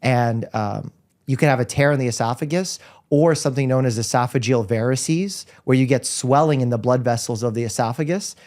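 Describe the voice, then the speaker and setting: A man who grew up in Russia, with Russian as his first language, hosts a casual interview podcast. He is a family doctor and talks in an upbeat way, laying out medical points in plain terms. His voice sits at an ordinary male pitch. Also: brisk, darkish tone.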